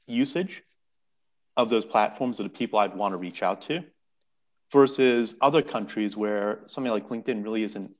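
A man speaking as a podcast guest, explaining earnestly and often restarting his words. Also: medium pitch. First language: English. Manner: earnest, explanatory, repeats words while thinking. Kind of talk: casual podcast conversation.

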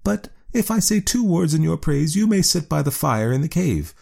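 A man's voice: fairly high pitch, very animated, normal speed, gravelly, mellow, pitched above the performer's own voice, heavily acted. A man doing a proud, lofty cave woman. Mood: haughty. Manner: lofty, sing-song and commanding.

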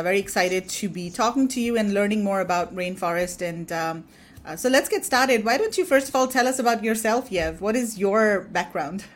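A woman hosting a webinar interview over a video call, upbeat and conversational. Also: medium pitch. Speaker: webinar host.

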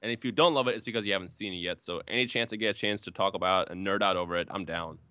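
A man with a very loud voice, a podcast guest talking with enthusiastic, laid-back slang. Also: deep voice, rapid-fire, smooth, dry recording. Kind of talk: casual podcast chat. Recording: dry, slightly dull podcast audio.

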